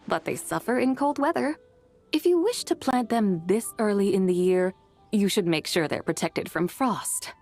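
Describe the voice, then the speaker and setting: A woman's voice: mid-range voice, darkish tone, lightly acted. A woman playing a proud, stern female immortal who lectures in lofty, archaic speech in a video game. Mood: proud, matter-of-fact. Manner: haughty, archaic, lecturing diction. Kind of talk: game voice acting.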